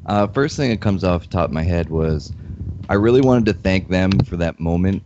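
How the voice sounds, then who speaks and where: A man calling in to a show while sick with a cold, telling a story in a relaxed way. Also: sits low, normal speed. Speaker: caller. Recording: dull home mic with faint noise.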